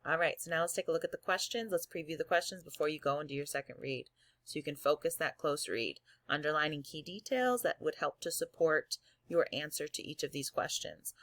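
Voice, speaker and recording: A woman with a slightly low voice, recorded close and dry. She works as an elementary teacher, reading aloud to her pupils and guiding them step by step. She addresses the class directly and encourages them as she goes. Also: lively intonation, brisk, a bit bright, no room sound.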